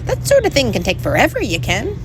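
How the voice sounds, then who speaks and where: A reader playing a small, scarred, bearded male pixie chieftain, excitable and quavering, in a storybook reading. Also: mid-range voice, a little fast, background noise, voice pushed up. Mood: relieved, scheming. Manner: exclaiming, quavering, dialect-speaking.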